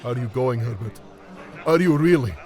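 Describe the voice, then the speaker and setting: An actor playing a warm, excitable Algerian man, exclaiming exuberantly in an audio drama. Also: medium pitch, moderate pace, soft background music, close to an everyday voice. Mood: earnest.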